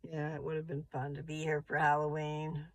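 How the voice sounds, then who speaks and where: An older woman with a rough, gravelly voice, rambling slowly and chattily as she vlogs from her parked car. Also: deep voice, monotone, no echo. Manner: meandering, slow, chatty. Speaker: vlogger. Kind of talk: rambling casual vlog.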